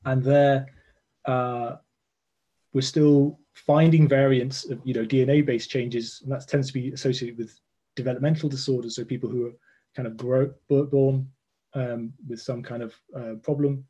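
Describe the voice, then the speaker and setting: A man in his forties, a scientist explaining his research over a video call from a room at home, thoughtful and full of pauses and fillers. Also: mid-range voice, fairly even intonation, dark tone, husky. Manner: thoughtful, hesitant, many fillers and restarts. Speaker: genomics lecturer, guest scientist. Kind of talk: explaining research in a live online interview. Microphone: video call webcam.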